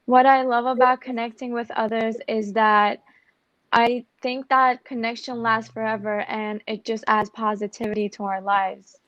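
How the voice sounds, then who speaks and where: A teenage girl from Turkey speaks in a flat, steady tone with a fairly high voice. The audio is dry and dull, like a lo-fi call. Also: moderate pace, no echo. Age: teens. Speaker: student panelist.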